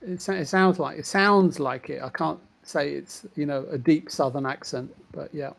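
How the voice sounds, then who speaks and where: A man's voice: fairly high pitch, moderate pace, vocal fry, fairly bright tone. A man chatting casually about games in a hesitant, roundabout way. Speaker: gamer on a podcast.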